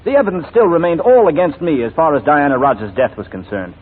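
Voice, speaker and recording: An intense, persuasive gentleman in 1940s radio drama. He talks quickly and pointedly, with animated pitch. The broadcast is thin and phone-like. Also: slightly rough. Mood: earnest explaining. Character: clever, intense titled gentleman, wrongly suspected, coolly persuasive.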